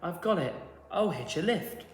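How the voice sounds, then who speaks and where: A man's voice: sits high, expressive, normal speed, a little hiss or hum. A man voicing a tiny, plucky female sea snail from a children's storybook in an earnest, exclamatory way. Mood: excited. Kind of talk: children's storybook read aloud. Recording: echoey hall with long reverb.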